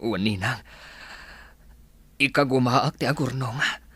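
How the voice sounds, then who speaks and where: Earnest young Ilocano man, mid-pitched. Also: a little slow, sharp tone, breathy edge, no echo. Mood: respectful. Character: heartbroken, earnest young man, respectful godson. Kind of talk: Filipino radio drama acting.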